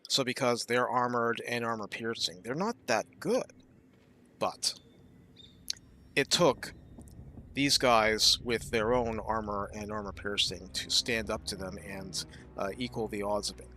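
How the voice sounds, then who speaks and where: A man giving casual gameplay commentary, explaining his strategy thoughtfully and often pausing with fillers. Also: on the low side, steady tone, bright, forceful tone.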